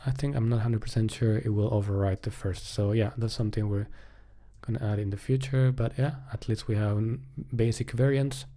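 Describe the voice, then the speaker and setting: A man's voice: fairly low pitch, fairly even intonation, fairly quick, dark tone. A man giving casual live-coding commentary, thinking aloud in a hesitant way as he works.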